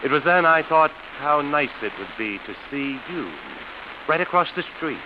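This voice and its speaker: An actor playing an embittered, falsely genial schemer with quiet menace, savoring his words in 1940s radio suspense style. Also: a little high, animated, moderate pace, lightly acted. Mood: slyly confiding. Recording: muffled, hissy vintage radio recording.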